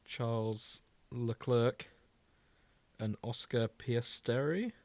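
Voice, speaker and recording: A man's voice: medium pitch, drawn-out words, dry recording, studio quality. A British man co-hosting a podcast in casual comedic chat, irreverent, rambling and sweary. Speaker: podcast co-host.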